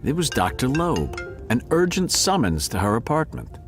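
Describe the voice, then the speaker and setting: A man's voice: medium pitch, sing-song, normal speed, faint music behind, natural voice. A man voicing a wry male cat who narrates a noir murder mystery audio drama with dramatic flair.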